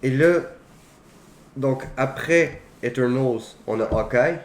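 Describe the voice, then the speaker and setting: A man's voice: medium pitch, slow pace. Male co-host, opinionated and blunt, mid-range voice, echoey room with light noise. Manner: opinionated, blunt, listing films in short phrases. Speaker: co-host of a film talk show. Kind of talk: casual film debate between friends.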